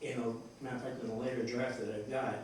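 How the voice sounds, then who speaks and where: A man speaking as a playwright in a rambling discussion, haltingly searching for words and correcting himself. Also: medium pitch, level tone, darkish tone. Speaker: playwright discussing his play. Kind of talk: rambling post-show discussion. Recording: echoey room, distant mic, faint noise.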